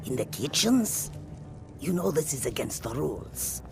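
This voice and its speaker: A woman playing a suspicious, grumpy cat-folk kitchen cook in a game, with a gravelly voice. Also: low voice, sing-song, a little slow, over music, heavily acted. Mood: suspicious, grudging.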